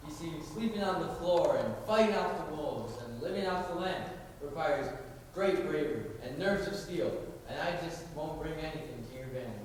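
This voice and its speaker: A mild, well-mannered young gentleman played by a teenage boy speaks in a fairly high male voice. He is earnest and timid in this stage play, and the sound is very echoey, caught from a distance. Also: animated, normal speed, natural voice. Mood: sheepish. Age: teens. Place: large indoor school performance space. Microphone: distant camera or room microphone. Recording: echoey, noisy hall, recorded from far off.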